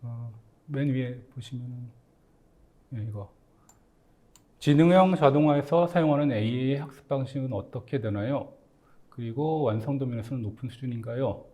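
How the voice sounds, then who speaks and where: A man's voice: mid-range voice, moderate pace, long pauses, warm tone. A man giving an explanatory business presentation as a company executive, speaking politely and conversationally with English tech terms mixed in.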